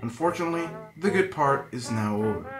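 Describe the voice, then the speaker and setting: A man's voice: medium pitch, lively intonation, brisk. A man reading scripted narration as a newsreel narrator. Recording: echoey room sound. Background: guitar music.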